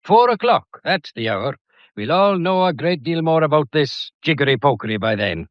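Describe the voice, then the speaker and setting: An actor playing a genial but firm, shrewd Scottish police inspector in a radio drama, folksy, unhurried and authoritative. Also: male voice, a little high, very animated, no room sound, highs cut off. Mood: polite, firm.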